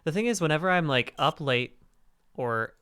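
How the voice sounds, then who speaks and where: A man with a slightly hoarse voice, chatting casually and rambling to fill space on a game stream. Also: lively intonation, moderate pace, a bit bright, no echo. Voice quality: hoarse, raspy low register. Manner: rambling, fills space with talk. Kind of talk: casual chat while gaming.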